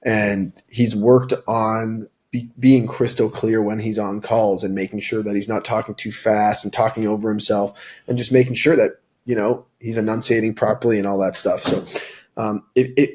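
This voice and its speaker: Husky low-voiced young man, casual. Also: fairly even intonation, moderate pace, darkish tone. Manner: informal, filler-heavy, frequent restarts and "like, you know". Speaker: tech sales rep, interview guest. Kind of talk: casual interview answers.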